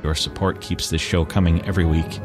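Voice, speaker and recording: A man's voice: sits low, little pitch movement, fairly quick. A man hosting a podcast, reading a scripted outro in plain speech. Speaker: podcast host. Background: music.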